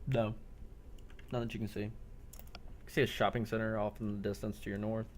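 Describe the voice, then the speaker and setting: A man acting as game master, improvising narration for a role-play game with a creaky, gravelly voice. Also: fairly low pitch, flat delivery, fairly quick, crowd noise.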